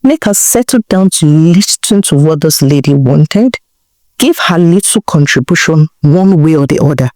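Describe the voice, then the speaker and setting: A woman with a Nigerian accent narrating a folktale in a deliberate way, repeating phrases for emphasis. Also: deep voice, animated, dark tone, dry recording. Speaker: storyteller, narrator.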